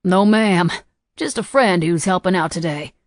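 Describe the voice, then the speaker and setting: A woman's voice: on the low side, unhurried, dry recording, clean studio sound, lightly acted. A woman voicing a teasing, cocky young man with a slight Southern accent, in an audiobook. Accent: slight Southern US drawl.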